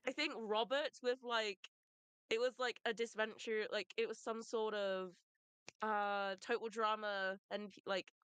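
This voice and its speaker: Giggly woman with a smooth voice. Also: mid-range voice, steady tone, unhurried, fairly bright tone, no room sound. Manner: giggly, scattered storytelling. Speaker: voice actor. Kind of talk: casual guest talk. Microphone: video call.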